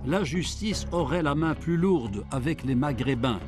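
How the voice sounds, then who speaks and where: High-voiced wry man narrating over music. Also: expressive, measured pace. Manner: wry, ironic. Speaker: documentary reporter and narrator. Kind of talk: scripted investigative narration.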